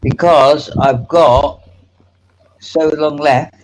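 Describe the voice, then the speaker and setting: A man's voice: on the high side, steady tone, drawn-out words, darkish tone. A middle-aged man chatting casually as host of a live stream. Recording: faintly noisy stream audio.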